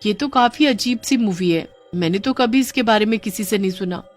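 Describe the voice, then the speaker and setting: A woman voicing a headstrong, excitable young girl in a cartoon, exclaiming in a childlike way. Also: medium pitch, fairly quick, close to an everyday voice. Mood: curious. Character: headstrong movie-mad young girl, boastful yet easily frightened. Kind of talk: Hindi cartoon voice acting. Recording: music under the voice.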